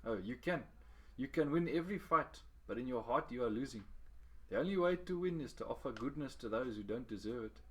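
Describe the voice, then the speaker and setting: A man voicing a gentle, wise older fisherman with a South African Cape accent, speaking kindly and earnestly. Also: medium pitch, expressive, brisk, lightly acted, rough recording.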